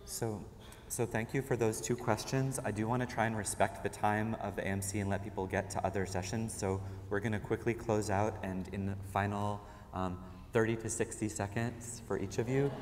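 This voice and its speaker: A moderator briskly and courteously wrapping up a panel discussion. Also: medium pitch, fairly even intonation, background noise. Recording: hall with long reverb.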